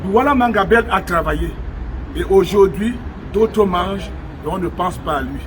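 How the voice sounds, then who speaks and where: A man's voice: high voice, expressive, normal speed, a little hiss or hum, rough recording. A man from Cameroon, speaking emphatically to viewers from an outdoor square, with many rhetorical questions. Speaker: vlogger. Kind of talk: impassioned on-location address to viewers.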